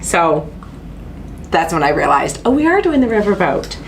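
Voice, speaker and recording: A woman's voice: fairly low pitch, moderate pace, noisy background. A woman hosting a casual craft stream, sounding stuffy and nasal from allergies, chatty with lots of fillers. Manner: chatty storyteller, lots of fillers and excited asides. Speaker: host of a craft channel. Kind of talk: casual chat on a live stream.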